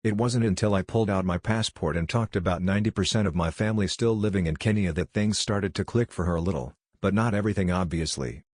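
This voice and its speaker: Deep, dry male story reader. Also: quick pace, few pauses, a little mellow, no echo. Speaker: narrator reading forum posts. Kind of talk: scripted narration.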